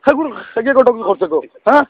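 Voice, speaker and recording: High-pitched scolding Sylheti man on phone. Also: lively intonation, fast talker. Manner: exasperated, scolding, rambling, punctuated by questioning grunts. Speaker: prank call participant. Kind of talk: heated phone argument. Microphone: telephone. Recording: thin noisy phone line.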